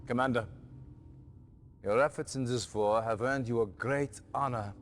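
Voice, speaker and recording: A man's voice: mid-range voice, a little slow, big-room sound, slight background noise, natural voice. A man playing a stern allied general. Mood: commanding. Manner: commanding, clipped military briefing. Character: stern middle-aged male allied general. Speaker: allied general briefing a commander. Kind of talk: game cutscene acting.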